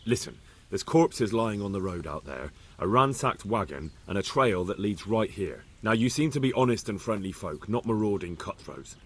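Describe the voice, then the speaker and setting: A blunt, tough young investigator. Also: male voice, lowish voice, lively intonation, normal speed, few pauses, fairly bright tone. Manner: direct, threatening when pushed. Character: blunt determined young man investigating an ambush. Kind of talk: video game voice acting.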